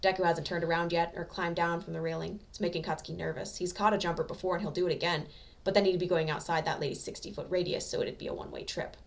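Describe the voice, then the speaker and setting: A woman reading a story aloud as an amateur narrator, with expressive scripted delivery. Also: lowish voice, fast, creaky, distant mic.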